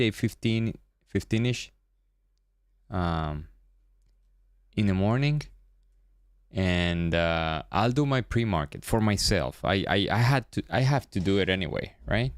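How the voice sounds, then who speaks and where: Slow, deep man. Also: animated, clean tone, no echo, clean studio sound. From Venezuela. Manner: hesitant, repeats words, trails off low. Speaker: day-trading livestream host. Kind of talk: casual livestream chat.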